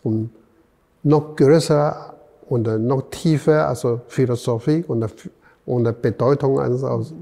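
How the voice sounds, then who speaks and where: Slow, gravelly older man, halting. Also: medium pitch, fairly even intonation, dark tone, long reverb tail. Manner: halting, searching for words, many fillers. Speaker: artist guest. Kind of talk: halting interview answers. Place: museum gallery room.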